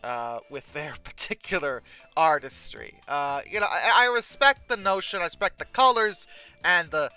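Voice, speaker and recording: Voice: mid-range voice, lively intonation, normal speed, sharp tone, clear, resonant tone, faint music behind. A commentator giving humorous off-the-cuff commentary, stammering and hesitating, with bursts of theatrical exasperation. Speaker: YouTube host. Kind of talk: humorous unscripted commentary on pictures.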